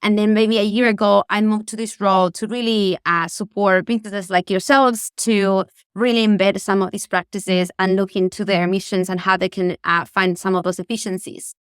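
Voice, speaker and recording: A woman's voice: medium pitch, fairly even intonation, normal speed, hardly any pauses, smooth, no echo, studio quality. A woman in her thirties from Chile with a Chilean Spanish accent, presenting a webinar eagerly with many fillers over a video call. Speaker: tourism sustainability presenter.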